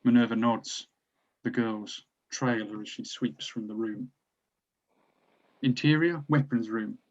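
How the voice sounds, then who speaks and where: Mid-pitched male narrator, slightly dull sound. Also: fairly even intonation, slow pace, a little mellow. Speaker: narrator reading stage directions. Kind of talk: scripted narration at a table read.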